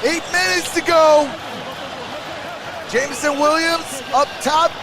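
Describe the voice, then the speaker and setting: A man's voice: very high voice, fairly even intonation, slow, vocal fry, crowd noise, noisy background. A man doing live fan play-by-play as a sports stream host, calling plays in short clipped fragments.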